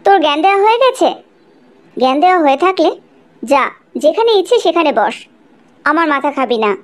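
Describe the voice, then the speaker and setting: Cartoon heroine, young student, proud and defiant, high lively voice played by a woman. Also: brisk. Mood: curt. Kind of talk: cartoon dialogue.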